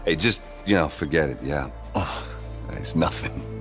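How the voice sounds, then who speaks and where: Deep-voiced, wry warrior captain. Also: male voice, over music. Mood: wry, weary. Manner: halting, sardonic, sighing. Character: gruff wounded male warrior captain, wry and hurt. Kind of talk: video game dialogue acting.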